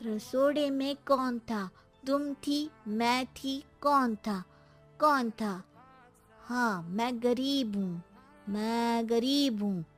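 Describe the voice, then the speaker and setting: A woman playing a cheeky, wisecracking young schoolboy with a deadpan way of talking back, in a comic audio drama. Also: fairly high pitch, drawn-out words, soft background music. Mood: playful.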